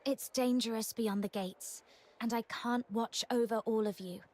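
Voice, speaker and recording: A woman voicing a composed, practical young hunter in a video game, measured and matter-of-fact. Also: on the high side, steady tone, a little mellow, lightly acted. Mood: calm, businesslike. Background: background music.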